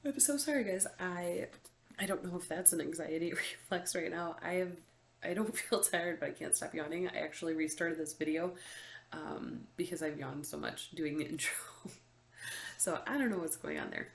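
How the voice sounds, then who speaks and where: Young woman, congested, low gravelly voice, casual rambling vlog chat, echoey room. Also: sing-song, normal speed. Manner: chatty, many ums and likes, laughs often. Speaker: vlogger. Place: room with window open, indoors.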